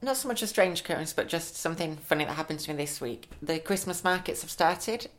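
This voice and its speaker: A man's voice: a little high, animated, a little fast, fairly bright tone. A young man chats casually as a podcast co-host. He is upbeat and laughs easily, with a slight lisp from new teeth. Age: 20s. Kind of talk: casual chat.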